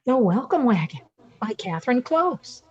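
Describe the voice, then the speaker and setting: An older woman reading scripted narration for a radio play, with a husky voice. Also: a little high, big pitch swings, normal speed, dull audio.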